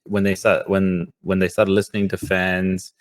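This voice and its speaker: A man's voice: low-pitched, completely flat delivery, moderate pace. A man co-hosting a casual podcast discussion, talking in a loose way and often repeating phrases as he goes. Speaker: podcast co-host.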